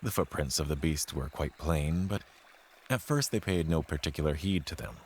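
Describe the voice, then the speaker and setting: A man telling a scripted frontier tale as a dramatic narrator, in a measured, formal, literary way. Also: very deep voice, a little hiss or hum. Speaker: storyteller narrating an audio play. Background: music under the voice.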